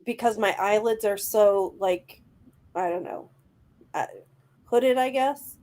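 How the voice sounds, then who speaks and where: A woman's voice: mid-range voice, slow, warm tone. A woman chatting casually as a guest, agreeable and rambling with fillers. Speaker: guest vlogger. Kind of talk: casual chat.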